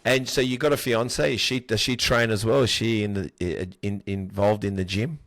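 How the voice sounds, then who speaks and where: A man hosting a podcast, asking questions and backing up his guest with many quick yeahs. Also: lowish voice, steady tone, fairly bright tone, no room sound. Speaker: podcast host. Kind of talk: casual interview chat.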